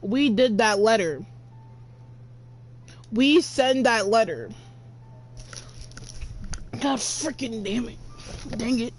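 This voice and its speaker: A teenage game player reacts casually in a medium-pitched voice. The talk is slow, slangy and full of muttered exclamations. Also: lively intonation, slightly rough. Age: teens. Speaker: gamer reacting to a game's story.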